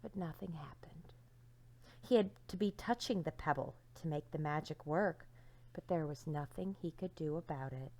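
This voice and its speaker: A woman teacher reading a storybook aloud to young children, with dramatic emphasis and hushed endings to her phrases. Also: low-pitched, normal speed.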